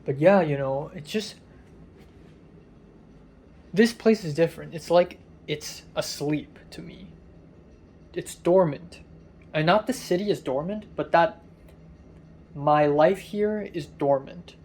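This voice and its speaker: A tired young man with a raspy voice, rambling through a casual vlog from a hotel room, halting with many ums. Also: fairly high pitch, darkish tone. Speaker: vlogger.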